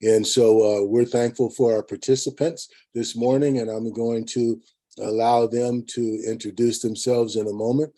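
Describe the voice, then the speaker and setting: A man with a mellow, mid-pitched voice moderates an online panel. He speaks in a measured, halting way, feeling out his words as he introduces and guides the session. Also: little pitch movement. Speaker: webinar moderator. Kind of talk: unscripted hosting.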